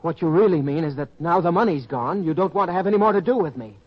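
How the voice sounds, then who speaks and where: A man's voice: fairly high pitch, animated, fast. An actor playing a naive, earnest young farm boy who has been swindled, in an old-time radio drama style. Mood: indignant, angry. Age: young adult. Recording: dull lo-fi radio-style recording.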